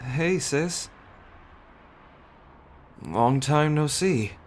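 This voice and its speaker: A man playing a weary, apologetic estranged brother in an ASMR audio drama, speaking in a measured, pleading manner. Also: a little high, animated, slight background noise. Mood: weary, awkward.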